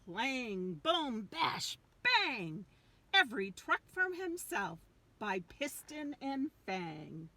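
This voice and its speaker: Female storyteller for kids' read-aloud. Leisurely delivery, lively swooping intonation, dramatic emphasis. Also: fairly high pitch. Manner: dramatic, drawn-out emphasis on key words. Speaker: storyteller reading a picture book aloud. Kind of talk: expressive read-aloud for young children.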